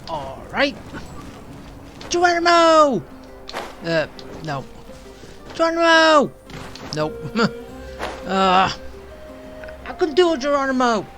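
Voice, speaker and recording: A game streamer giving casual playthrough commentary in muttered, deadpan asides. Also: high voice, takes it slowly, long pauses. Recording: game music behind the voice.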